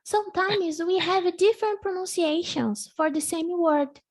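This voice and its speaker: A woman whose first language is Portuguese, teaching a live online English lesson and patiently drilling each word. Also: very high voice. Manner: patient, slow drilling, repeats words for the student.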